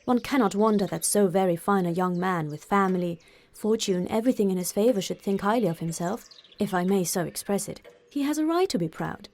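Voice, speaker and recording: A woman voicing a sensible, composed young woman in an audiobook, speaking in a measured, dryly candid way. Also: mid-range voice, darkish tone, close to an everyday voice. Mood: calm, reasoning. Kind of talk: audiobook character voice.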